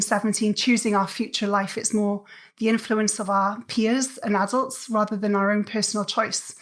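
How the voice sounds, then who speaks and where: A woman's voice: mid-range voice, fairly bright tone. A woman from South Wales with a Welsh accent, a podcast guest thoughtfully telling her life story. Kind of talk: reflective interview storytelling.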